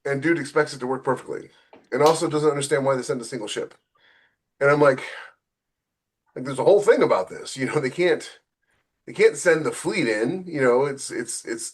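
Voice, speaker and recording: A man's voice: medium pitch, normal speed. Casual, wry male author co-hosting a podcast. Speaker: novelist and podcast co-host.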